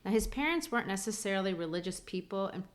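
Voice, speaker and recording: A woman's voice: medium pitch, animated, fast talker. A woman, co-hosting a literary podcast and explaining things in an earnest way. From grew up in Brazil. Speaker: podcast co-host.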